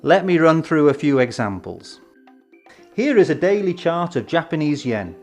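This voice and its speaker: A man presenting an educational film in a scripted, measured, explanatory way with emphatic phrasing. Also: fairly high pitch, big pitch swings, darkish tone. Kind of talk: scripted instructional narration. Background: background music.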